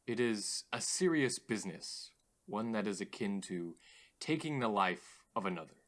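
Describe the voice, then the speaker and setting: An actor playing an earnest, philosophical radio host in an audio drama, formal and slightly theatrical. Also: male voice, lowish voice, expressive, normal speed, a bit bright. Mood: grave.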